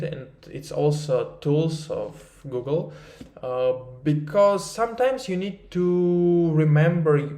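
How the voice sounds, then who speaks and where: A Russian-accented man, slightly high-pitched, talks through a tutorial at an unhurried, halting pace. His voice rings in an echoey room, and he stops often for fillers and restarts. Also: warm tone. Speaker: marketing research instructor. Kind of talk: screen-recorded tutorial.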